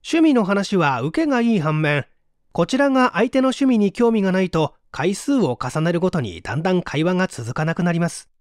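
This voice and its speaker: A narrator reads a nonfiction book aloud, explaining point by point. The delivery is scripted and clearly articulated, with lively swings in pitch. The recording is dry and close, with no room sound. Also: fairly quick, dry recording. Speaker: audiobook narrator.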